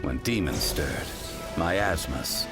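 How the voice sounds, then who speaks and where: Deep, slow, solemn storyteller. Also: male voice, animated, creaky, heavily acted. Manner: measured, formal. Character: grave, ancient, dignified male storyteller of legends. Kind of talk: solemn scripted video game narration. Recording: loud music under narration.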